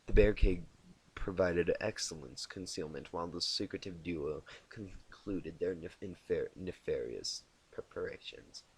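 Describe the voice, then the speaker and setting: A man narrating a storybook tale as an amateur reader, halting and often repeating words he stumbles on. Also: sits low, slight background noise, poor audio. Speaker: amateur fan fiction reader. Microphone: inexpensive home microphone.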